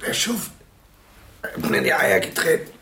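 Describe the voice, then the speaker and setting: A man's voice: low voice, wildly swooping pitch, normal speed, pushed and bright, exaggerated voice. An actor playing a hurt, indignant crook in a radio crime drama, his voice strained with pain. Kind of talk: 1980s German radio crime drama acting.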